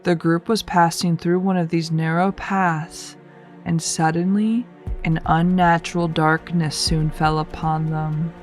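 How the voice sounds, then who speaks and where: A woman narrating a children's folktale as a read-aloud, with expressive, deliberate delivery. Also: on the low side, faint music behind. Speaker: storyteller.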